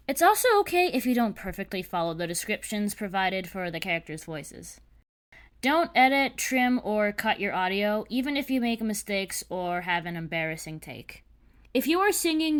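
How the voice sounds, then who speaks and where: A woman's voice: mid-range voice, fairly bright tone. A woman narrating scripted audition instructions and character descriptions, with precise, emphatic enunciation. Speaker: creator of an animated series giving a casting call.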